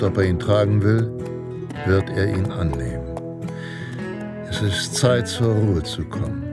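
An actor playing a grave, self-assured Western pulp narrator in a radio drama, speaking in a measured, dramatic way. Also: male voice, low voice. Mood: solemn. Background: guitar music.

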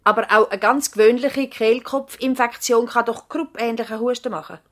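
A woman's voice: fairly high pitch, steady tone, normal speed, a bit bright, lightly acted. A woman playing a sensible, composed doctor's nurse in a radio drama, matter-of-fact, with a Swiss accent. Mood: calm, concerned. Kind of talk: German-language radio drama acting.

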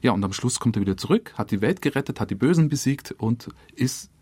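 A man's voice: medium pitch, lively intonation, fast, a little mellow, husky, no echo. A man speaking as a director in an interview, explaining colloquially and piling clause upon clause. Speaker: radio drama director, interview guest. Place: dry radio studio.

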